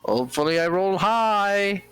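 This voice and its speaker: A man's voice: high voice, unhurried, fairly bright tone, clear, resonant tone, soft background music. A man playing a tabletop game, chatting casually, stammering and repeating his words. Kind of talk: casual chat.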